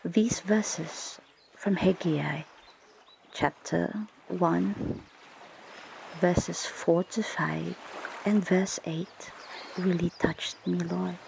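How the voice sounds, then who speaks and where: Slow, whispering woman in ASMR prayer. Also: lowish voice, fairly even intonation, slight background noise. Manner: hushed, breathy whispering, unhurried and deliberate. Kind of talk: whispered ASMR guided prayer and scripture reading. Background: ocean water ambience.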